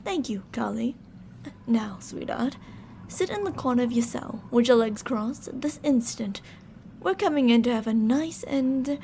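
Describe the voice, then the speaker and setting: A woman's voice: a little high, animated, a little slow, creaky, dark tone, slight background noise. A woman playing a controlling mad scientist in an ASMR audio drama, sugary and polite but ready to turn stern and commanding. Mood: sweetly menacing. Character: controlling female mad scientist, sweet-talking, fretful captor.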